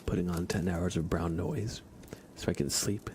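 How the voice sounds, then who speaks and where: A man hosting an ASMR livestream, chatting softly and casually in a hushed, unhurried way that often slips into whispering. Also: low-pitched, steady tone, slight background noise. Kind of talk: soft ASMR livestream chat.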